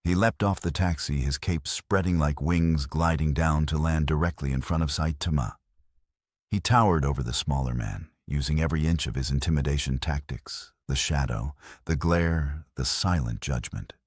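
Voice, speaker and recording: A man's voice: very deep voice, normal speed, no echo, studio quality. A man narrating an action audio novel in a dramatic storytelling style.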